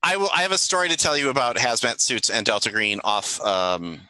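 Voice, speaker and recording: A game master running a tabletop game in casual chat, halting and often repeating words while improvising. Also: medium pitch, sing-song, bright, forceful tone, dry recording, low-quality recording.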